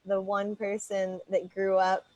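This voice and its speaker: A woman's voice: mid-range voice, completely flat delivery, unhurried, a little mellow, no echo, highs cut off. Giggly young woman hosting a chat. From Indiana. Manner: friendly, giggly, lots of affirmations. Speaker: host. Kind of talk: casual video-call chat. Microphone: video call.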